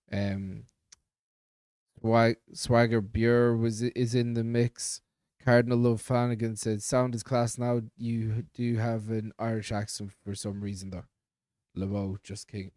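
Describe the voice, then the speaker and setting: A man's voice: medium pitch, level tone, measured pace, a little mellow, clean tone, no room sound. A man with an English accent co-hosting a live stream from a small studio, casually reading out chat comments. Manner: laid-back, swears casually.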